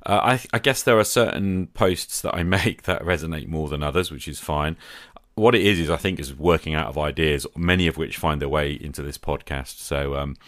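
A man's voice: a bass voice, normal speed, a bit bright, no room sound, clean studio sound. A man hosting a podcast, talking conversationally in an earnest, reflective manner. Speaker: podcast host.

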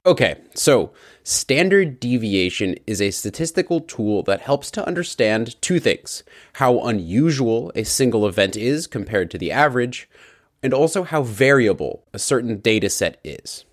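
A man's voice: mid-range voice, big pitch swings, normal speed. A man hosting a podcast, narrating and explaining ideas plainly with everyday examples. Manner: plain, conversational explainer using everyday images. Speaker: podcast host.

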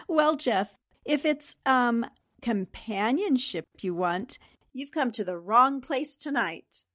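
A woman's voice: a little high, lively intonation, takes it slowly, fairly bright tone, no echo, studio quality, full character voice. A woman acting a determined, easily exasperated newspaper reporter in a 1940s-style radio drama. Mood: amused exasperation.

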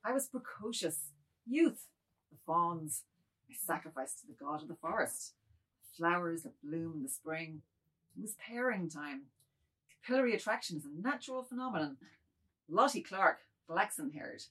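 A woman plays a middle-aged man with a low, husky voice in a dramatic novel reading. He is apologetic and wistful, justifying himself in broken, musing phrases. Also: expressive, normal speed, a bit bright, poor audio. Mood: wistful confessing.

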